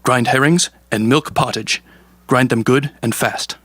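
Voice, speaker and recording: A man's voice: medium pitch, unhurried, a bit bright, husky, no echo. An actor playing a rich, greedy, envious man in an audio folktale, theatrical and demanding. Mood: bossy. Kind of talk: audio storybook character acting.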